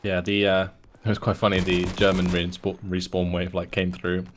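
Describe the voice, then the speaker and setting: Low-voiced easygoing gamer man. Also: completely flat delivery, moderate pace, a little hiss or hum. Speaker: gamer, teammate on voice chat. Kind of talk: casual gaming chat with a friend. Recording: gunfire and clicks behind the voice. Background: video game gunfire, explosions, clicks.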